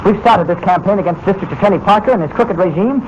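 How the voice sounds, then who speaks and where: An actor playing an unflappable, stubborn newspaper reporter in a 1940s-style radio drama. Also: male voice, high voice, fast, close to an everyday voice. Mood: defiant. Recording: noisy, muffled old radio broadcast.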